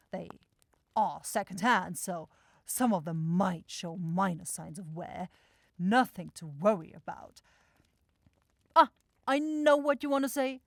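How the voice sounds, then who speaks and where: A woman's voice: medium pitch, animated, slow, vocal fry, pauses often, dry recording, clean studio sound, full character voice. A woman playing a self-assured, impatient demon shopkeeper in a fantasy ASMR audio roleplay, with a theatrical, coaxing salesy manner. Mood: smug, coaxing. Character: bossy, salesy demon-like soul shop keeper sister, impatient.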